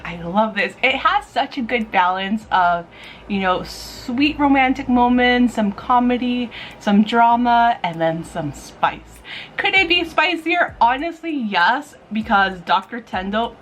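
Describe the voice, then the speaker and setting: A woman's voice: on the high side, a bit bright, a little hiss or hum. A woman giving casual, chatty recommendations as a video host, with an enthusiastic, gushing, informal way of talking. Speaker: manga reviewer and video host.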